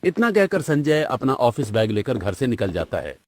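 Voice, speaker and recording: A man's voice: medium pitch, big pitch swings, moderate pace. A man narrating a cartoon story in a scripted storytelling style.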